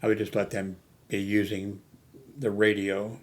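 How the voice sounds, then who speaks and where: A man's voice: on the low side, little pitch movement, unhurried. A man giving a casual, unscripted talk as an amateur radio hobbyist, rambling and hesitant, often losing his thread. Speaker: amateur radio hobbyist hosting a video channel.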